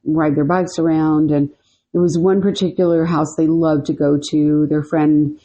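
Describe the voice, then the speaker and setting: A woman's voice: low-pitched, little pitch movement, moderate pace, dark tone. A woman co-hosting a podcast, telling a story casually, rambling with lots of "you know" and "like". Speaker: podcast co-host.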